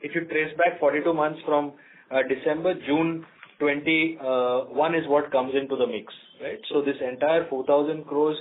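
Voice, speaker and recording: A man with an Indian accent answering analysts as a company executive, speaking off the cuff in a halting way and repeating words. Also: on the high side, steady tone, slight background noise. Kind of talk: unscripted earnings call answers. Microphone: telephone conference call. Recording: narrow, tinny phone conference line.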